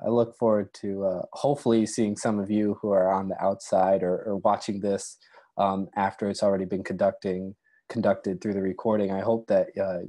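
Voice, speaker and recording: Low, mellow young man with a cold. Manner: easygoing, many ums and uhs. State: has a cold, near losing his voice. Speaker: doctoral candidate, webinar moderator. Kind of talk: casual webinar talk. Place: small room at home, indoors. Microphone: earbud headset mic, video call.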